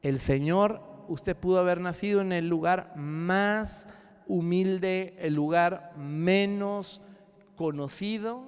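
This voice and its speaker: A man preaching a sermon as pastor, swinging between loud rising cries and hushed low asides. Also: takes it slowly, clean tone, long reverb tail. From Mexico. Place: church sanctuary.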